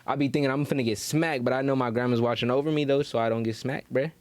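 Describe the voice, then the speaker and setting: A man's voice: medium pitch, steady tone, fast talker, darkish tone, dry recording. A man in casual podcast conversation, talking in slangy, profane phrases that he repeats for emphasis. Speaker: podcast co-host. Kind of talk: casual chat.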